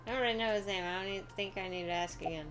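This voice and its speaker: Female game streamer, casual, medium-pitched, music playing underneath. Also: rapid-fire. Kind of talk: casual reactions and reading game text aloud. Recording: music behind the voice.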